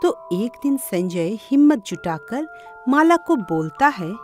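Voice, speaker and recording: High, animated female story narrator. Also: slow pace. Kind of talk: scripted cartoon story narration. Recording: music behind the voice.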